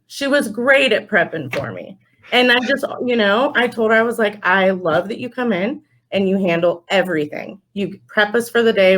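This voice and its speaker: Woman telling stories in casual interview. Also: on the high side. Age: young adult. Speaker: podcast guest, store general manager.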